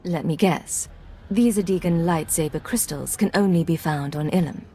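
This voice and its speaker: A woman's voice: on the low side, moderate pace, dark tone, slight background noise, close to an everyday voice. A woman playing a cool, confident Imperial agent in a video game, terse and self-assured. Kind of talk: dramatic video game acting.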